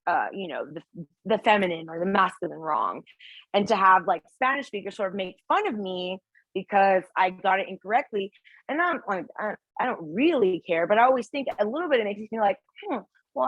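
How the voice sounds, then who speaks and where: Lively woman teaching a prep class. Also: medium pitch, no room sound, dull audio. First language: English. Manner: lively, asks questions, explains with examples. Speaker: instructor.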